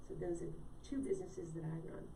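A woman's voice: on the low side, fast, warm tone. A woman in her late fifties from Connecticut, a wellness coach telling her story in a chatty, storytelling way as a guest on a live show. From Connecticut, USA. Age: late 50s. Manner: chatty, storytelling, quizzes the host. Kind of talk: casual live-show conversation. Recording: echoey room, distant from mic, faint noise.

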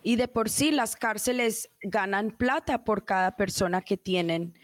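A woman hosting an interview, asking curious questions with many fillers and quick backchannels. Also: medium pitch. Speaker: interview host.

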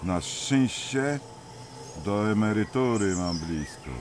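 A gruff older miner with a thick Silesian accent, grumbling and bossing the younger men about in a radio drama. He speaks slowly, his pitch rising and falling a lot. A loud ambient bed runs behind him. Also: expressive, background noise. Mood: gruff banter. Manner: grumbling, bossy teasing.

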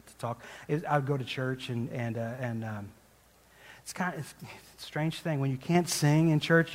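A man of middling pitch gives a conversational sermon as a guest preacher, telling a personal story. He rambles and restarts often and pokes fun at himself. His voice carries the long reverb of a large church hall. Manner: rambling, self-deprecating, frequent restarts and laughs.

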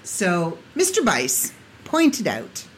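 A woman hosts her podcast alone, chatty and jokey, with a lively pitch that bounces up and down. Her voice has gone a little hoarse, and a faint hiss sits underneath. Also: very slow, rough recording. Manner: chatty, jokey, laughs at own asides. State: getting hoarse. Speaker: podcast host. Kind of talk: casual solo podcast chat.

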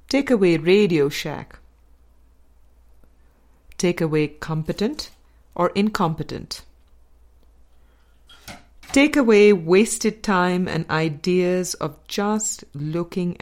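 A woman narrating a spiritual dialogue book as a scripted audiobook reading, with measured, deliberate phrasing and pauses. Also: lowish voice, a little mellow. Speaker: audiobook reader.

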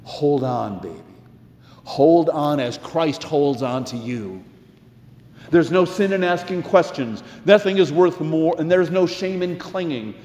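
A man's voice: on the high side, expressive, measured pace, darkish tone. Man preaching in an echoey, reverberant hall. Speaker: pastor. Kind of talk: sermon. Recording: echoey, reverberant church sound.

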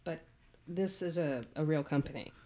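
A woman playing a frank, skeptical doctor in a comedy radio play, speaking in a deliberate, incredulous way. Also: low-pitched, warm tone, natural voice. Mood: baffled. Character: frank, skeptical female doctor, wearily scolding her patient.

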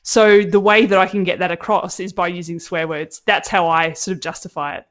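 An Australian woman hosting a podcast, talking in an animated, casual way and laughing a lot. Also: lowish voice, a little fast. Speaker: podcast host. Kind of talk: casual interview chat.

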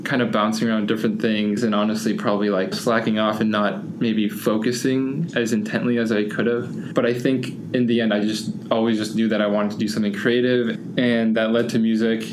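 A young man in easy conversation, circling his thoughts and peppering nearly every phrase with "like." His voice sits fairly low. A faint background hiss stays under the talk. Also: little pitch movement, fairly quick, few pauses. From United States. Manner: rambling, heavy use of "like" fillers. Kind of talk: casual conversation.

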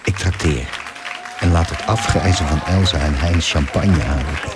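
An actor playing a melancholy hotelier torn between family and guests in a Dutch radio drama. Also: male voice, normal speed. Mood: brooding, lonely. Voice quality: very deep. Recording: loud music and crowd behind.

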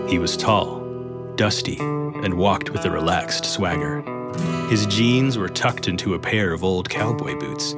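A man's voice: fairly low pitch, natural voice. A man playing a tongue-in-cheek romance novel narrator, dramatic and scripted. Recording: loud guitar music under voice.

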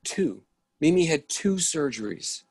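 A woman reading the role of a puzzled adult in a play reading, husky and insistent. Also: sits low, sing-song, measured pace, a little mellow, exaggerated voice. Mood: bewildered. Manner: insistent, incredulous, repeats herself. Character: puzzled adult person upset by change. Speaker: actor reading second character. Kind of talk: readers theatre play reading.

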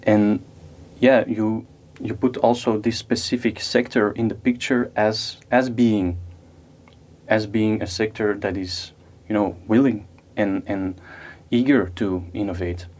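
A man's voice: on the low side, fairly even intonation, unhurried, a little mellow. A man hosting a podcast interview, asking his questions in a conversational way with restarts and fillers. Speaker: podcast host.

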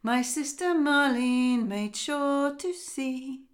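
A woman voicing an eerie singing bird, the spirit of a murdered boy, in a slow sing-song chant. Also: sits high, pitched above the performer's own voice. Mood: haunting chant.

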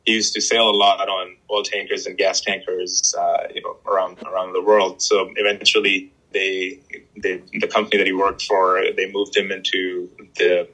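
A man with a low, slightly husky voice talks in a relaxed interview. He stops and restarts often, filling gaps with "you know" and "uh". He grew up in Pakistan. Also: rough recording. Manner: halting, many restarts and fillers. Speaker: guest. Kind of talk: casual interview chat.

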